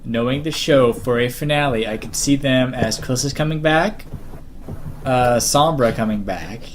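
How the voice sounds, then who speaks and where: A man chats casually on a fan panel. He speaks haltingly, circling back over phrases and cursing offhand. The recording carries a light layer of noise. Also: mid-range voice. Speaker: podcast panelist. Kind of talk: casual fan group discussion.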